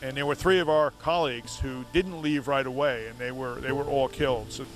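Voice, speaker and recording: A man's voice: medium pitch, fairly even intonation, brisk. Reflective man in his fifties, music under. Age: 50s. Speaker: interviewer and interviewee. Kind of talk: recorded interview.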